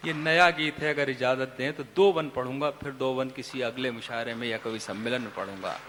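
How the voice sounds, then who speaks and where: A man, a poet on stage, sings his verses in a melodic recital at a poetry gathering, with quick spoken remarks to the audience between the verses. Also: mid-range voice, steady tone, fairly bright tone, slight background noise. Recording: long hall reverb with faint music.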